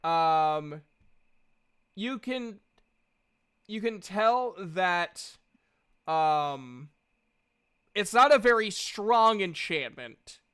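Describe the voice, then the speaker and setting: Male game master, high and clear, playful and sweary, casual tabletop storytelling, close dry sound. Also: animated, very slow, long pauses, a bit bright, no echo.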